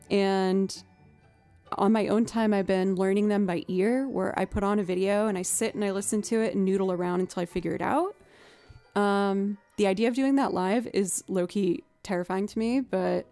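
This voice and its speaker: A young woman with a naturally low voice, chatting casually with her livestream audience and thinking aloud as she goes. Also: gravelly, mellow. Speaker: streamer and musician.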